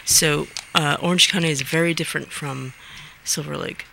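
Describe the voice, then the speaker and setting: A woman's voice: sits low, fairly even intonation, normal speed, vocal fry, bright, forceful tone, slight background noise, poor audio. A woman in her late twenties, from Finland, answering a radio host's questions in a hesitant way, full of ums and restarts. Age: late 20s. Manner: hesitant, many fillers and restarts. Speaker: radio guest. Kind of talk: casual radio interview.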